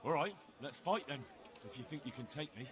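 A bold young medieval fighter from a video game, played by a man. He is plucky and direct, talking quickly with a lively, mid-pitched voice. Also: natural voice. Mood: bold, eager.

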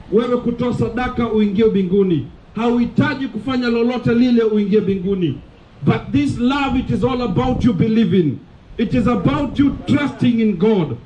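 A young Kenyan man preaches in the open air on a sandy street by the beach, his voice pitched high yet mellow in tone. He declaims with urgent, repetitive phrasing, heard from a camera set some distance away. Faint outdoor noise sits underneath him. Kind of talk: open-air sermon mixing Swahili and English.

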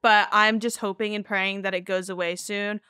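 A woman's voice: mid-range voice, little pitch movement, normal speed, sharp tone, smooth, dry recording, studio quality. A woman in her twenties co-hosting a casual podcast, talking candidly with slang and swearing. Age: 20s. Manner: candid, slangy, heavy cursing. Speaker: podcast co-host.

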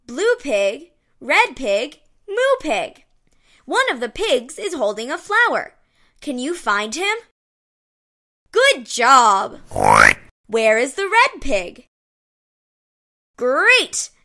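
A woman's voice: sits high, sharp tone. A woman narrating a read-along storybook for young children in short, simple, encouraging phrases.

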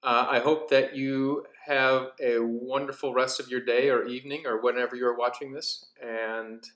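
A man's voice: medium pitch, level tone, moderate pace, a bit bright. A young man with an American accent reading as an author, in storybook narration and casual introduction. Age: young adult. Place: indoor studio room with wooden floor. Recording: roomy echo.

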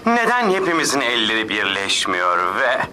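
A man's voice: medium pitch, wildly swooping pitch, normal speed, background noise. A man voicing a bumbling, scatterbrained space-bound superhero in a dubbed cartoon, speechifying grandly and rambling. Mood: grandiose. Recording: loud music over voice.

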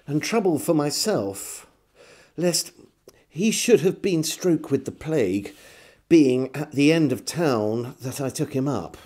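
A man, reading an old diary aloud as a storyteller, measured and theatrical with deliberate pauses. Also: mid-range voice, a little mellow. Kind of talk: expressive literary diary reading. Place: small home room with bookshelves.

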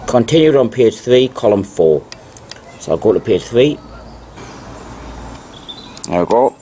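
A man narrating as he reads old newspaper articles aloud, deliberately, repeating key phrases. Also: medium pitch, animated, mellow, slight background noise. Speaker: video narrator.

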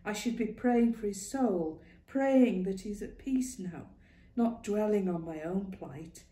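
Woman doing an earnest, pleading young wife; slow, echoing room. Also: medium pitch, mellow, natural voice. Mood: anxious, pleading. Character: anxious young pregnant working-class wife. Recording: roomy echo.

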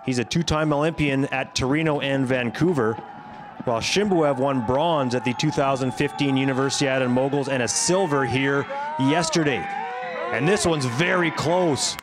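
A man giving scripted highlights commentary on a sports competition as the commentator. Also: moderate pace, background noise.

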